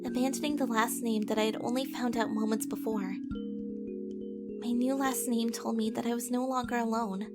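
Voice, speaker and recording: A woman acting a gentle, vulnerable young heroine in an audio drama, telling her past in an earnest, emotive, confiding way. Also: fairly high pitch, steady tone, normal speed, over loud music, lightly acted. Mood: tender, reflective.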